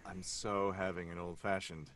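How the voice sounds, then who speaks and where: A man's voice: low-pitched, flat delivery, normal speed, fairly bright tone. A man who works as a voice actor, chatting casually and cracking jokes. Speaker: podcast voice actor. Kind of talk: casual joking chat.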